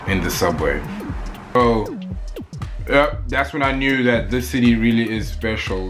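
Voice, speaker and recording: A young man with a South African accent, talking casually and rambling a little in a one-take vlog. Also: on the low side. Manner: chatty, rambling, loose and playful. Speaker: vlogger.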